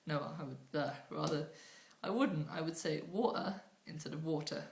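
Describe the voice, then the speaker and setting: A woman's voice: low-pitched, normal speed, vocal fry. A woman from Northumberland with a Northumbrian accent, casually answering questions in a hesitant, rambling way. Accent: Northumbrian English. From Northumberland, England. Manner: casual and chatty, with a hesitant, rambling flow and many ums. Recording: roomy room echo.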